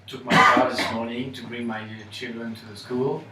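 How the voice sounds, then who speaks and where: A man's voice: mid-range voice, little pitch movement, crowd noise. Hesitant man, distant in echoey room. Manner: slow, hesitant, frequent uh. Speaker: focus group participant. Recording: echoey room, far from mic.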